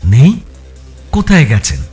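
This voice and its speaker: A man narrating a suspense thriller in a dramatic, expressive audio story style, with music behind him. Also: mid-range voice, a little slow, slightly rough. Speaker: narrator reading a first-person thriller.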